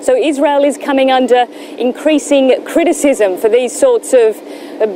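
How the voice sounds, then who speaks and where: Higher-voiced woman reporting over street noise. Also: mellow, poor audio. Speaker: news correspondent reporting live. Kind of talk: live news report. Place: on location in Gaza.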